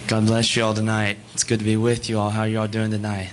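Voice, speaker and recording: Brief hoarse male gospel singer, low flat folksy talk, faint room noise. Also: quick pace. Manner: folksy, with many uh fillers. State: hoarse, voice partly gone. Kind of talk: casual talk from the pulpit.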